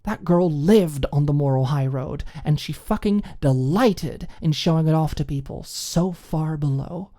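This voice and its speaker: A woman reading scripted fiction as an expressive audiobook narrator. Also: sits low, unhurried, few pauses.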